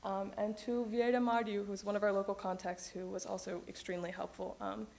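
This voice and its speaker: A woman's voice: mid-range voice, little pitch movement, brisk, gravelly, darkish tone. A young woman student presenting her research to a panel audience, hesitant, with many ums. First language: English. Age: young adult. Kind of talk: academic presentation.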